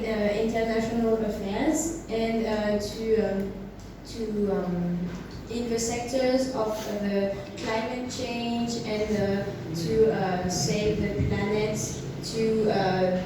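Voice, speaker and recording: Halting young woman, slow, echoey. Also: medium pitch. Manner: halting, searching for words. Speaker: foreign guest student. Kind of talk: casual classroom talk in English. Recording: echoey room, mic far off, noise.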